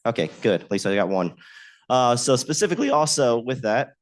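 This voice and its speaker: A man giving a presentation at a podium, a bit hoarse from a cold, rambling with filler words like effectively. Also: medium pitch, animated, fairly quick. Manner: rambling, leans on "effectively" and "specifically". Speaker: state aviation manager presenting. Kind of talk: conference presentation. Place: meeting room at a podium. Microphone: podium mic.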